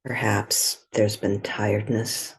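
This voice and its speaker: A woman leading a guided meditation in a gentle, soothing way, with a soft, breathy voice and long pauses between short phrases. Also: extremely low pitch, little pitch movement.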